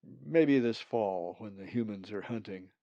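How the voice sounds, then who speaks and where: Blunt, sly male storybook raven, played by a man: gravelly, folksy, emphatic, always thinking of food, dry close sound. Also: on the low side, measured pace, dark tone, no room sound, muffled recording. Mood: relishing.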